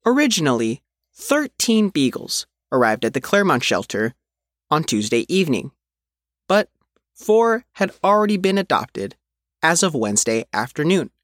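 A man's voice: on the high side, big pitch swings, moderate pace, a bit bright, no echo. Man reading news, dry close sound. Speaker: reporter reading their own written article. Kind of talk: scripted news narration.

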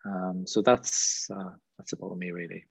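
A man's voice: low voice, steady tone, unhurried, vocal fry, breathy edge, dry recording. A middle-aged Irish man speaking as a guest trainer in a webinar, discursive with frequent fillers. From Ireland. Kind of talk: webinar Q&A talk. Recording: dull lo-fi sound.

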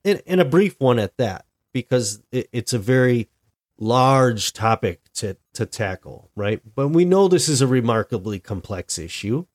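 A man's voice: mid-range voice, very animated. Stuffy-sounding man hosting a podcast. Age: adult, married. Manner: deliberate, reflective, with frequent restarts. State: stuffy, sick with a cold-like illness. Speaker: podcast host. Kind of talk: solo podcast monologue.

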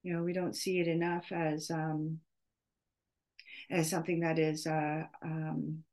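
Slow, halting middle-aged woman. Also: low-pitched, flat delivery, a little mellow. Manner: hesitant, halting, searching for words. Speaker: podcast host. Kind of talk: off-the-cuff solo podcast introduction.

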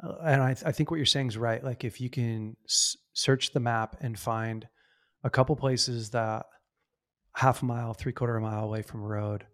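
A man's voice: medium pitch, steady tone, normal speed, fairly bright tone. A man hosting a podcast, chatting casually and encouraging his guest, often calling him bro.

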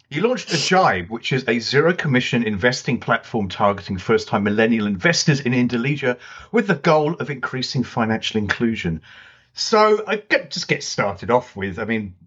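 A man's voice: mid-range voice, fairly bright tone, husky, dull audio. A man hosting an interview podcast, chatty and self-deprecating, with lots of fillers. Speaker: podcast host. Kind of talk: casual interview chat.

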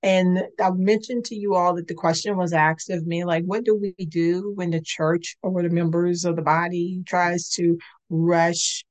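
A woman hosting a faith-based podcast, speaking in an earnest, conversational way, her voice a little raspy today. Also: lowish voice, fairly even intonation, unhurried, a little mellow. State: slightly raspy voice. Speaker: podcast host and licensed clinician.

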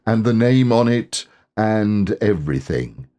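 Man doing a slow, gloomy old donkey. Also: fairly low pitch, animated, warm tone. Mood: smugly proud. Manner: ponderous, sarcastic, mournful. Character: gloomy, aggrieved old donkey with dry disdain.

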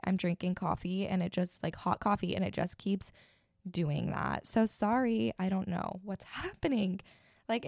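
Woman, 20s, podcast host; raspy, gravelly, low-pitched, blunt and chatty delivery. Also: darkish tone, dry recording, studio quality. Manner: blunt, chatty, many fillers. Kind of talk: casual advice chat.

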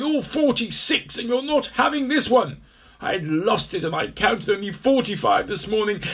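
A man voicing a furious, possessive mother crocodile in a storybook, bellowing in a high, strained voice. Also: a bit bright, breathy edge, full character voice. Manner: bellowing, shrieking.

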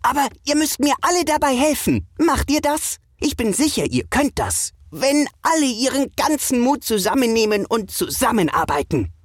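Excitable, high-pitched little boy superhero. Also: normal speed, hardly any pauses, slightly rough, no room sound, studio quality, heavily acted. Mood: rallying. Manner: exuberant, stammering, invents gushing super-words. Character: eager young little male superhero, boastful yet easily flustered. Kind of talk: children's audio story character voice.

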